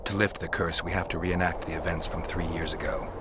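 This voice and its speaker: An actor playing a stoic, world-weary monster hunter in a video game, gravelly and matter-of-fact. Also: male voice, low voice, a little fast, noisy background, natural voice. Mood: calm, instructing. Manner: terse, flat, matter-of-fact.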